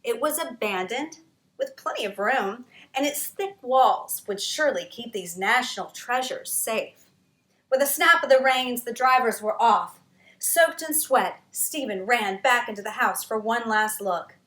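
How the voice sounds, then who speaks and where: A woman author reading her own picture book aloud at storytime, expressive, with dramatic pauses. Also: fairly high pitch.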